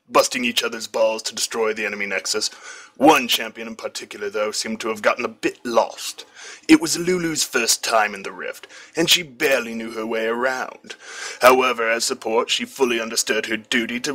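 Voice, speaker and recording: A man's voice: mid-range voice, bright, forceful tone, rough recording. A man reading a comic fan fiction story aloud as scripted narration.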